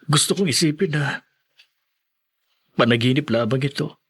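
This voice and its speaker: A man's voice: a little high, normal speed, fairly bright tone, slightly rough. An actor playing a loyal young student grieving for his friend in a podcast drama, earnest and heavy-hearted. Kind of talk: podcast radio drama acting.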